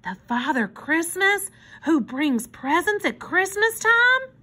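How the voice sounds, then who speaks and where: A woman's voice: a little high, very animated, measured pace, sharp tone, heavily acted. A woman voicing an earnest, polite and hopeful little girl in a storybook, with an eager, pleading manner. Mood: awed.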